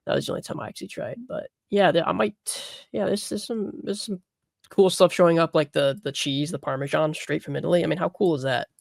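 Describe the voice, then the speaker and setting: Male panelist, higher-pitched with gravelly fry, casual delivery, dry sound. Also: fairly quick, dry recording. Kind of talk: casual chat.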